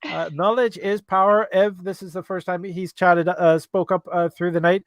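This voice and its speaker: Man, Irish accent, slightly high voice, dry close sound, hesitant host with lots of uhs, livestream chat. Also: steady tone, moderate pace, no room sound. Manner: hesitant, many fillers and restarts. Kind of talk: live chat show, reading viewer questions.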